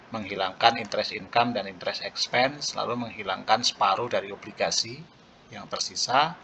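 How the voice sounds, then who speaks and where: A man giving an explanatory lecture in a halting way, pausing mid-phrase, on a muffled recording. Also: on the low side, bright, forceful tone, a little hiss or hum. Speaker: accounting lecturer. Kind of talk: explanatory lecture walking through worked journal entries.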